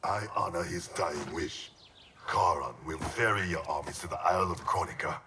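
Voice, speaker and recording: A man playing a solemn ninja warrior seeking redemption in a video game, speaking gravely and formally. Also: low-pitched, moderate pace, recorded from a distance, very noisy, natural voice. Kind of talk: dramatic video game acting. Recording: loud cinematic music behind.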